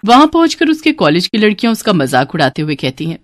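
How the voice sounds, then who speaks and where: A woman's voice: medium pitch, big pitch swings, fast talker, no echo. A woman storyteller reads a bedtime tale as scripted narration. Her delivery is dramatic, and the recording is close and dry.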